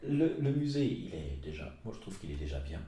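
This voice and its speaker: Male interviewer, animated melody, casual agreeable interjections, reverberant room sound. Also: a bass voice, fairly quick. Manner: agreeable, chimes in with short affirmations. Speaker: podcast host interviewing.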